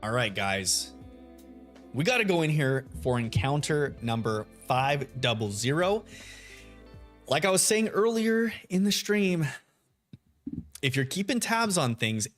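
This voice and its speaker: A man's voice: big pitch swings, measured pace. Laid-back man chatting on a game stream. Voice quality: deep radio-style voice. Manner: laid-back, chatty, greets chat members by name. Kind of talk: live stream chat while shiny hunting. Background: soft lo-fi music.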